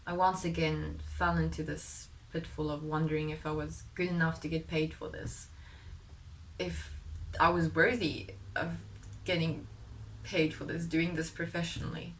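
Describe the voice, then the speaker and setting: A woman's voice: low-pitched, flat delivery, moderate pace, slight background noise, low-quality recording. A young woman whose first language is Afrikaans, telling her own story in a candid, self-deprecating vlog and laughing partway through sentences. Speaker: vlogger. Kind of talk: confessional vlog narration. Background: faint music.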